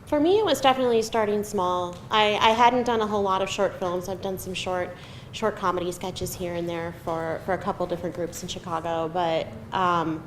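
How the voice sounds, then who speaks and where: A woman's voice: steady tone, fairly quick. A middle-aged woman screenwriter casually answering panel questions in a chatty, self-deprecating way. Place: live film festival event room. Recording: echoey room, audible noise and rumble.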